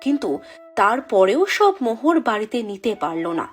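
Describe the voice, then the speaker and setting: A woman tells a folk tale in scripted storybook narration. Her voice sits high and swoops expressively, with faint music playing behind it. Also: sing-song, moderate pace.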